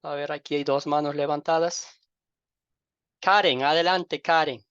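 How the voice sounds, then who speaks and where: A middle-aged man with an Ecuadorian accent, a pastor informally welcoming an online class in a halting way, repeating words and using fond diminutives. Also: fairly high pitch, steady tone, fairly bright tone, clear, resonant tone, no room sound. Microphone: online group call microphone. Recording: dull lo-fi online call audio.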